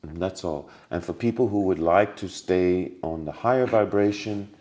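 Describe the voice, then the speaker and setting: A man's voice: low-pitched, moderate pace, mellow. A man speaking as a podcast guest, giving earnest spiritual advice and repeating key words for emphasis.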